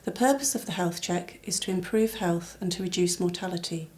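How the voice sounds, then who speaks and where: A woman reading formal, scripted instructional narration for a training film at a measured, even tempo. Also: on the low side.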